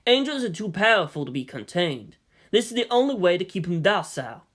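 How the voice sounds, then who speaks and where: A narrator playing a weary male army general, formal and measured, who reasons and pleads firmly. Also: medium pitch, big pitch swings, a bit bright. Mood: measured, reasoning. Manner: measured, official, placating.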